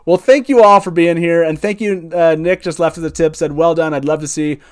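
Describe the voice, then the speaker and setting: A man's voice: a little high, fairly even intonation, brisk, clean tone. Chatty, enthusiastic man hosting a show. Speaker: show host. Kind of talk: casual livestream hosting.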